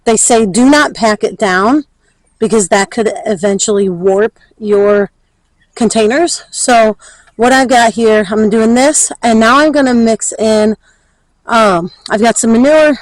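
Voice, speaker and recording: A woman's voice: fairly high pitch, a little slow, no echo, low-quality recording. A woman chatting casually and thinking aloud as she demonstrates for a garden vlog. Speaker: garden vlogger.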